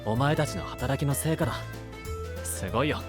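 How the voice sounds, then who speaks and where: An actor playing a frank, easygoing, brotherly young knight in an anime-style voice drama. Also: male voice, medium pitch, normal speed, music in the background, natural voice. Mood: warm, pleased. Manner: casual masculine speech, relaxed and straightforward.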